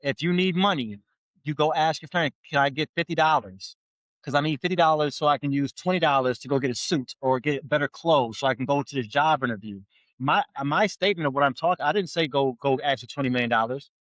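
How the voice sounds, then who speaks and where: A man's voice: fairly high pitch, fast talker, a bit bright, dry recording, poor audio. A man in his forties co-hosting a casual motivational chat, emphatic and repetitive with stammered restarts. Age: 40s. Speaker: podcast co-host.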